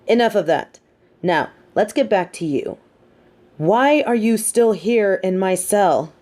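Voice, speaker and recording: A woman playing a sardonic, teasing supervillain with a hidden soft side, talking straight to the listener in an audio roleplay with theatrical, mocking emphasis. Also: mid-range voice, animated, slow. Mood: wry, teasing. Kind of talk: scripted audio roleplay monologue spoken to the listener.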